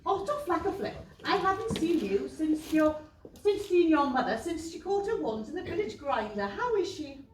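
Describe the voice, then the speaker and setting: A woman's voice: high voice, expressive, moderate pace. A woman playing a fussy, put-upon mother and shopkeeper, exclaiming theatrically on a pantomime stage. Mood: fretting. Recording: echoey stage, faint noise, far off.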